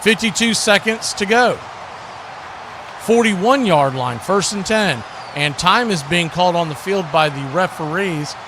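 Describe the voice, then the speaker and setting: A man's voice: sits high, animated, unhurried, background noise. A man calling American football live as a play-by-play commentator. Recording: loud crowd roar and music behind.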